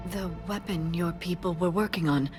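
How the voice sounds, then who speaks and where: An actress playing an earnest, scholarly alien scientist with a gentle, careful manner. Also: female voice, lowish voice, normal speed, over music, lightly acted. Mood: hopeful.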